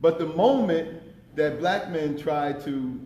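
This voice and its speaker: Deep-voiced man in his fifties, emphatic and impassioned, speaking a bit high for his range in a roomy hall. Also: expressive, slow pace, warm tone. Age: 50s. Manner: impassioned, emphatic, repeats words when pressing a point. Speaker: panelist. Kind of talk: conference panel talk. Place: conference room. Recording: echoey conference room.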